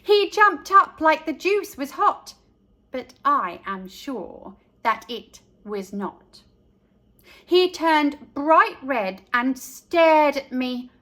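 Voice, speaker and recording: A woman narrating a picture book for young children in an expressive, deliberate storytelling manner. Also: high-pitched.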